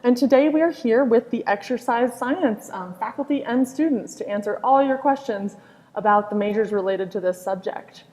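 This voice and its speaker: A woman's voice: a little high, normal speed, darkish tone. A woman hosting a live college panel, upbeat and encouraging. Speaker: host, marketing director. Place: campus hall. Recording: reverberant hall.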